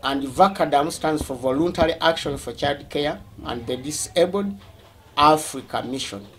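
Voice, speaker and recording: A man with a Ugandan accent speaking as a school representative, explaining things during a tour in a halting, deliberate way, often rephrasing. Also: a little high.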